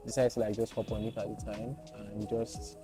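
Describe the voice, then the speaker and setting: A man with a soft voice, chatting playfully as a guest about his work. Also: fairly low pitch, flat delivery, moderate pace. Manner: playful, joking storyteller. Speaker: guest content creator. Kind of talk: casual interview chat. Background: background music.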